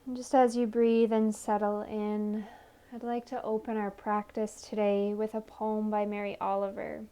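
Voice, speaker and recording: A woman leading a guided meditation in a soothing, gentle manner, offering unhurried invitations. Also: medium pitch, level tone. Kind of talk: guided meditation, body scan narration.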